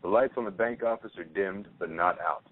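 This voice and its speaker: A man with a fairly low voice reads stage directions as scripted narration. The audio is narrow and tinny, like a phone line. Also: a little fast, slight background noise.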